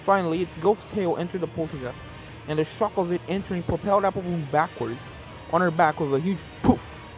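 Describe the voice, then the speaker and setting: Expressive story reader, mid pitch, trips on words now and then, game music behind. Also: no room sound. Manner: reads with occasional stumbles and self-corrections. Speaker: narrator of a fan fiction reading. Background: video game music.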